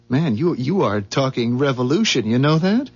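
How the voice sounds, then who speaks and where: An actor playing a skeptical, curious everyday neighbor in a 1970s radio drama, speaking in a colloquial, incredulous, halting way. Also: male voice, medium pitch, big pitch swings, a little mellow, husky. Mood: stunned. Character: skeptical, easily amazed everyday man, friendly curious neighbor. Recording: dull, muffled 1970s radio broadcast recording.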